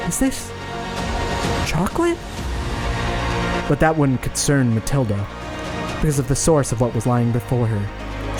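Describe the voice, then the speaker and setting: A man with a fairly high voice hosts a comedy podcast. Loud music plays behind him as he speaks. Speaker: podcast host. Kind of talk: dramatic scripted narration, then casual chat.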